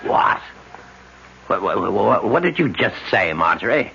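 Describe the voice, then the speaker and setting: Gravelly, pompous American father. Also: lowish voice, very animated, unhurried, pushed and bright, full character voice. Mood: stern, indignant. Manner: curt, pompous, scolding. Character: stern, self-pitying older father, widowed and pompous. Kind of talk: 1970s radio drama acting. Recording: muffled, noisy old radio broadcast recording.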